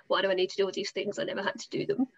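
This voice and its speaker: A woman's voice: mid-range voice, fairly even intonation, rapid-fire, muffled recording. A woman in her late twenties, chatting casually on a group video call. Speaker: panel participant. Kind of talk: casual chat.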